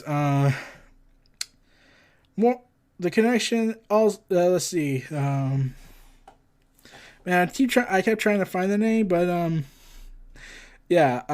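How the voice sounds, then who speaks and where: A man hosting a casual entertainment news roundup, rambling and halting, often tripping over his words and losing his thread. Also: sits high, a little mellow. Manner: scattered, halting, often tongue-tied and losing track. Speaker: host of a comics and entertainment news show.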